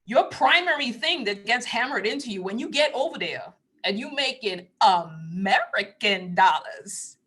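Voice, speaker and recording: A woman with a lilt talks casually to her live-stream audience in a bright, clear tone. She rambles from thought to thought, laughs often and drops into hushed asides. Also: fairly high pitch. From Trinidad. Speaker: spiritual teacher on a live stream.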